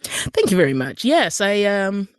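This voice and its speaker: Podcast guest: American woman, screenwriter, medium pitch, conversational and expressive in telling, very dry sound. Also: unhurried, dry recording. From Utah, United States.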